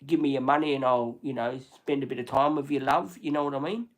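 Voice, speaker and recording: A man's voice: mid-range voice, quick pace. An Australian man hosting a livestream, chatting casually and bluntly with plenty of swearing. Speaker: livestream host. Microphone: phone or camera on a livestream.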